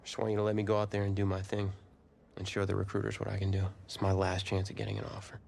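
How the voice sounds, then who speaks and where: Gravelly young football player. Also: male voice, lowish voice, completely flat delivery, fast, darkish tone, a little hiss or hum. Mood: bitter, pushy. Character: confident young football player, proud and pushy. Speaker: actor in a football game's story scenes. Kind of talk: scripted dramatic dialogue.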